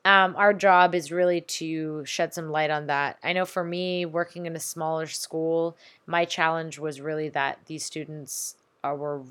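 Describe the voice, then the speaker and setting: A woman's voice: deep voice, fairly even intonation, a little slow, hardly any pauses, a bit bright. Supportive woman in casual chat. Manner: supportive, finishes the other's sentences. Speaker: teacher, co-host of chat.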